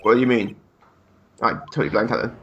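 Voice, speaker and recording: A man's voice: lowish voice, lively intonation, fast talker, a bit bright, lo-fi sound, slight background noise. A young man hosting a let's play, joking crudely and reading dialogue aloud. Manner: irreverent, crude, laughing often.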